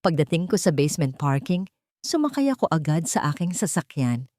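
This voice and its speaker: A woman's voice: deep voice, big pitch swings, a little fast, mellow, dry recording, studio quality. A woman with a Filipino accent narrating a dramatic first-person story as an audiobook reader.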